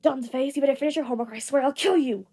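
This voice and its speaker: Female narrator as hot-tempered teenage boy, gruff, bossy, husky, commanding, audio fan fiction. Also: high voice, racing, mellow. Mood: irritated. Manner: gruff, barking orders and threats.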